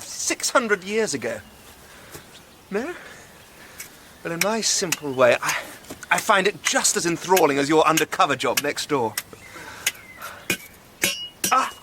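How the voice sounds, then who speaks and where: A fairly high male voice with an English accent, playing a jovial, teasing amateur archaeologist in a radio drama. He sounds chummy, old-fashioned and keen, over clearly audible noise. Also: very animated, measured pace, long pauses. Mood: enthusiastic. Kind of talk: naturalistic radio drama acting.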